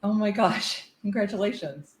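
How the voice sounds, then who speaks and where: A woman's voice: medium pitch, moderate pace, roomy sound. A woman chatting casually as a podcast guest. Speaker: podcast guest, psychiatric practitioner. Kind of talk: casual conversational interview.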